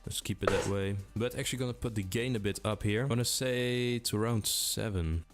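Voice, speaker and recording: Fast-talking man explaining audio settings. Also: fairly low pitch, soft background music. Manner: relaxed, chatty, addresses viewer directly. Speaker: tutorial presenter.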